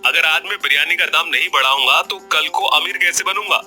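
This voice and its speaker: A man playing a greedy, smooth-talking village biryani seller in a cartoon story, with emphatic, expressive delivery. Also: on the high side, brisk, music in the background, close to an everyday voice. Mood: scornful, argumentative. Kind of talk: Hindi cartoon story voice acting.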